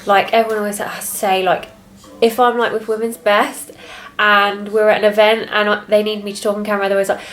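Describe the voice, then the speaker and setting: A young woman with a British accent and a soft, quiet voice, chatting candidly and a little reservedly as a fitness vlogger. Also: medium pitch, little pitch movement, brisk, low-quality recording. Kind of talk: casual confessional chat to camera.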